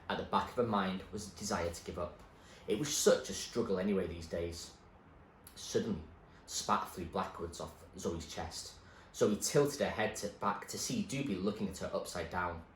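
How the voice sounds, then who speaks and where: A man reading a novel aloud with measured storytelling, in an echoey room. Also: deep voice. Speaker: reader. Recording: echoey room, faint noise.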